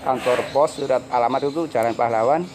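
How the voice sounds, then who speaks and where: A man's voice: medium pitch, a little fast, background noise. A man from Cilacap with a Banyumasan Ngapak accent, a cracker business owner explaining matter-of-factly in a casual interview. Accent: Banyumasan (Ngapak) Javanese. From Cilacap, Central Java.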